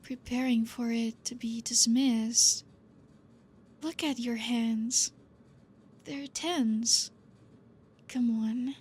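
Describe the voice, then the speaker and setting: A woman voicing a gentle, possessive female vampire professor in an ASMR roleplay, talking softly and coaxingly and slipping into whispers. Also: on the high side, fairly even intonation, slightly rough, heavily acted. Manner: soft, slow and coaxing, drifting into whispers.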